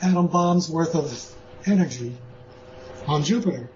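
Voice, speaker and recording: A man being interviewed as a guest author, speaking in a measured, hesitant way with many pauses. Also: on the high side, a little mellow, muffled recording, a little hiss or hum. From born in Austria, lives in the US. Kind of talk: interview answers.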